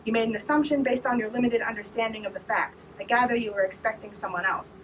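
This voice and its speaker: A woman reading aloud as a stiff, formal young male starship captain who speaks in an even, flat tone. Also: a little high, fast, close to an everyday voice. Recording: distant mic with noise behind.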